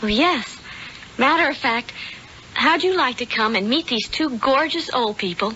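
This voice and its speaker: Eager young niece, cheerful, high animated voice, dull vintage radio drama sound. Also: female voice, normal speed. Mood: delighted. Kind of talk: 1970s radio drama acting. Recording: dull, noisy old radio recording.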